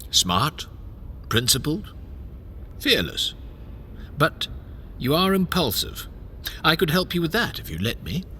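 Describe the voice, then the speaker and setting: A man playing a smooth, scheming royal chamberlain in an audio drama, unctuous and courteous, speaking deliberately. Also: on the high side, very animated, bright, forceful tone, a little hiss or hum, heavily acted. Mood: ingratiating.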